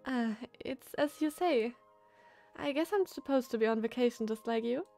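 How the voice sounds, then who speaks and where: Woman as a high-voiced, polite warrior woman. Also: normal speed, vocal fry, darkish tone, faint music behind. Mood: composed.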